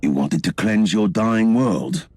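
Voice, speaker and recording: A man's voice: fairly low pitch, expressive, slow, a little mellow, husky, full character voice. An actor playing a sly, scheming male inquisitor in a video game, with an urbane, wry, theatrical delivery. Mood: grave. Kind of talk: dramatic video game cutscene acting. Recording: music behind the voice.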